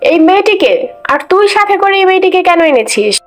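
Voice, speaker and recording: Woman acting very shrill, high-pitched scolding mother-in-law. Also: big pitch swings, fast talker, faint music behind. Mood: outraged. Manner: scolding, indignant questioning. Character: scheming hot-tempered middle-aged mother-in-law. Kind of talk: Bengali cartoon voice acting.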